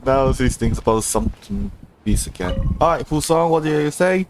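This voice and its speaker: A man's voice: on the high side, expressive, unhurried, noisy background. A man doing casual live game commentary as a streamer, in a hesitant, rambling way. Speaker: game livestreamer.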